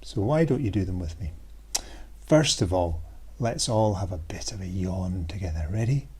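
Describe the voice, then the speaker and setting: A man reading a picture book aloud to young children in an expressive, animated storytelling way, as its author. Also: sits low, moderate pace, slightly rough. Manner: expressive, addresses young listeners directly.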